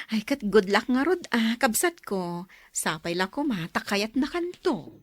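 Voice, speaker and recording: Woman acting a lively, teasing sister. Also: on the high side, takes it slowly, dry recording, exaggerated voice. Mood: doubtful, amused. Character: teasing, probing sister of a young man. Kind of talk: radio drama acting.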